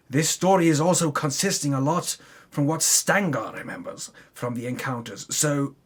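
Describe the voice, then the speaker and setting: A man's voice: on the high side, slightly rough. A man giving a mock-solemn, theatrical dramatic reading as the storyteller of a tabletop campaign, pompous with comic self-correcting asides.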